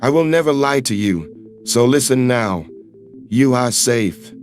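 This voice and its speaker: A man voicing a calm, earnest human man who speaks in firm, measured, reassuring tones. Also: mid-range voice, soft background music, voice pushed down, lightly acted. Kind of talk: audiobook character voice.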